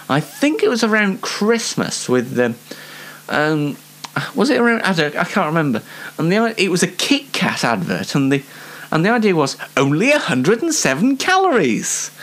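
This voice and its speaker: Young British man, animated rambling chat. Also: sits high. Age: young adult. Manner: chatty, rambling, self-deprecating. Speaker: host of a personal show. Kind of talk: casual anecdotal monologue.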